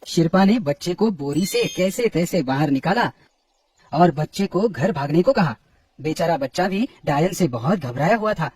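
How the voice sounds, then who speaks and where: A man's voice: high voice, a little mellow, husky, dry recording. A man narrating a scripted horror story for children.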